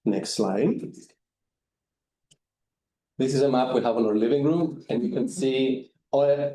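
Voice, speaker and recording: A man presents his volunteer work in an unhurried, halting way, with plenty of fillers. His voice is mellow and sits at a middling pitch. The room around him is echoey. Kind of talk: presentation talk.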